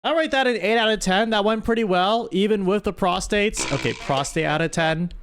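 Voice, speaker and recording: A man's voice: high-pitched, brisk, background noise. A man hosting a casual livestream story game, reading aloud and commenting with playful mock-exasperation. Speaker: streamer hosting a chat story-writing game. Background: background music.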